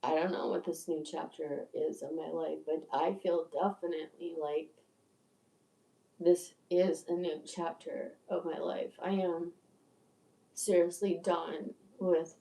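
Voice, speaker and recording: Gravelly, low-pitched woman in her sixties. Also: dark tone. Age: 60s. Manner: stammering restarts, profane, candid. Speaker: video creator. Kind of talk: rambling livestream monologue. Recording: echoey room, distant from mic.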